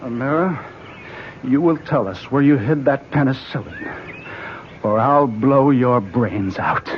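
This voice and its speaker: An actor in an old radio drama playing an agitated, hot-tempered husband with an insistent, urgent manner. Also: male voice, mid-range voice, takes it slowly, lightly acted. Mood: angry threatening. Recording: muffled, noisy old radio drama recording.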